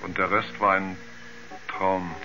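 An actor playing a haunted, frightened astronaut, halting and dazed as he searches for words in a seventies radio play. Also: sits low, little pitch movement, noisy background. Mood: haunted, fearful. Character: haunted, frightened male astronaut recounting a moon ordeal. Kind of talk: 1970s German radio drama acting. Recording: dull vintage radio recording, music underneath.

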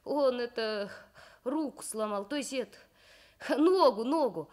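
A woman voicing an evasive young schoolboy in a radio story, halting and stumbling over words. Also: on the high side, animated, a little mellow. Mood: flustered. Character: schoolboy Kostik, evasive, stammering young fibber.

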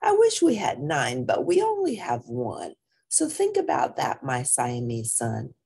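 Worried cat mama for a picture book, acted by a woman: exasperated wails, slow scolding, slightly husky. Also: rumbling low, huge pitch swings, warm tone, full character voice. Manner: wailing, groaning, exclamatory delivery. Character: frazzled, worried mother Siamese cat, scolding.

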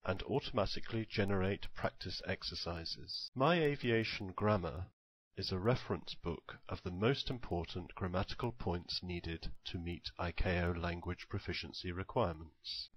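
Man, low voice, measured instructional delivery, scripted software tutorial narration. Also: rough recording.

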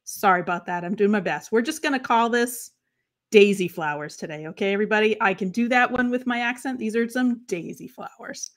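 A woman's voice: medium pitch, fairly quick. A woman in her thirties with a Boston accent, hosting a crafting livestream from her craft room and chatting upbeat with viewers by name. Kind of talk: casual live chat with viewers. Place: home craft room, indoors.

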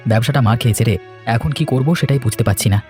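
A man's voice: mid-range voice, racing, a little mellow, faint music behind, lightly acted. A man playing a worried, dutiful young married son in a cartoon, speaking earnestly and reassuringly. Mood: downcast. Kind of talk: cartoon voice acting, domestic moral story.

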